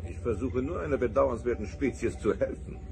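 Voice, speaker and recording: An actor playing an arrogant, all-powerful alien trickster in a radio drama, sardonic, pompous and taunting. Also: male voice, mid-range voice, a little fast, creaky, noisy background, close to an everyday voice. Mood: mocking.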